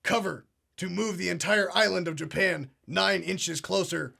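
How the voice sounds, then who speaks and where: A pompous conspiracy show host. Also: male voice, high voice, measured pace, creaky, bright, forceful tone, husky, heavily acted. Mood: smug earnest. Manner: solemn, earnest, agreeing. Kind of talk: deadpan parody talk show.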